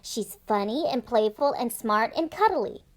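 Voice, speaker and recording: A woman reads as a helpful lady at a picture-book animal shelter. She sounds kindly and encouraging, with a fairly high, unhurried voice. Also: expressive.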